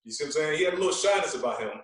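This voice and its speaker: A man talking casually as a podcast guest, telling stories in an animated way and repeating phrases for emphasis. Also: a little high, racing. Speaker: guest, veteran radio personality. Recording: echoey room, distant from mic.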